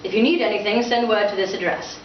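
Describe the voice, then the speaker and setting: A woman's voice: medium pitch, steady tone, quick pace, far from the mic, natural voice. A woman voicing a stiff, exasperated grown son, a Victorian policeman, with dry restraint at a live book reading. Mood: dismissive, bitter. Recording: muffled, echoey, noisy room from afar.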